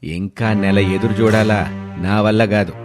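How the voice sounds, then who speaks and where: A man's voice: on the low side, steady tone, unhurried, music in the background, lightly acted. A man voicing a stern, threatening landlord in a story, scolding and demanding. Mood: angry.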